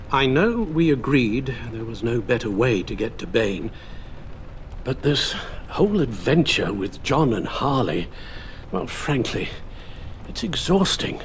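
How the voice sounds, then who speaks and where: An actor playing a loyal, weary butler in a video game, with a formal, gently worried manner. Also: male voice, medium pitch, slight background noise. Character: weary, loyal, polite male butler and confidant.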